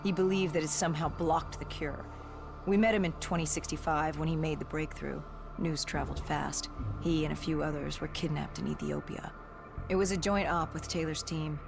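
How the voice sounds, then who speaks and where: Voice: medium pitch, steady tone, moderate pace, gravelly, natural voice. An actor's scripted game narration as a battle-worn cybernetic soldier, telling a grave story in a terse, dramatic way. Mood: grave, determined. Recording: dramatic music behind. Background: music.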